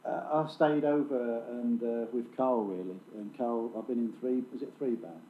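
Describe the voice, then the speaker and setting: A man raised in Lincolnshire rambles jokily through a casual interview. His pitch is medium. The recording is echoey and narrow, with some faint noise behind him. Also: steady tone, moderate pace. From England, raised in Lincolnshire. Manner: jokey, rambling. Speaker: drummer being interviewed. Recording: echoey, muffled, noisy sound.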